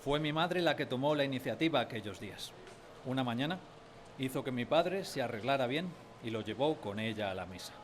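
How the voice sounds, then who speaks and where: A man's voice: medium pitch, expressive, fairly quick, slight background noise, close to an everyday voice. An actor narrating a radio drama as a man recalling his village childhood, wistfully, in a literary style. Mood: somber. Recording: music behind the voice.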